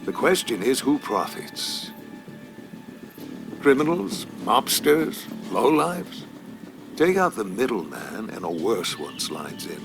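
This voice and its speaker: An actor playing a smug, menacing elderly businessman in a video game, measured and silky, with theatrical pauses. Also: lowish voice, sing-song, vocal fry, over music, exaggerated voice. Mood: coolly threatening. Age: older. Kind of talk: video game dramatic acting.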